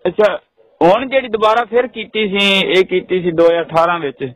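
High-pitched Punjabi man, pleading and insistent. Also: normal speed, low-quality recording. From Punjab, India. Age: 50s. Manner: pleading, insistent, rustic, repetitive. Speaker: prank caller. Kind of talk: comic prank phone call.

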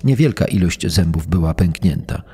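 A man as a husky, mellow forensic investigator. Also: low-pitched, animated, normal speed, full character voice. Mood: sober, clinical. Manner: formal, clinical. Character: male forensic investigator reading his sober report. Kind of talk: scripted documentary reading.

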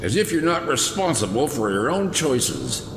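An actor playing a scornful older police commissioner held captive in an audio drama. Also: male voice, medium pitch, very animated, normal speed, noisy background. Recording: echoey hall-like reverb, music.

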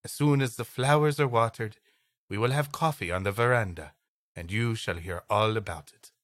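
Man reading as a courteous storytelling lady, bright-toned. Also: on the low side, moderate pace, dry recording, close to an everyday voice. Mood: reminiscing. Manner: formal, old-fashioned storytelling. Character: courteous Latin American lady recalling her frontier past.

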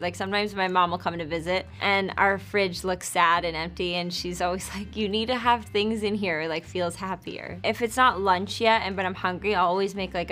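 A woman's voice: on the low side, fairly bright tone. Chatty young woman with a light laugh. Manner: chatty, laughs often, filler "like". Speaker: interviewee. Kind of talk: casual chat to camera. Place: indoor studio before a dark backdrop.

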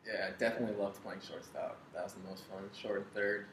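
American male, 20s, lowish flat delivery, casual interview guest in echoey room. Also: fast talker. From Florida, United States. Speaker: baseball player and coach, interview guest. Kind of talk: casual chat. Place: living room couch, indoors. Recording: echoey room with faint background noise.

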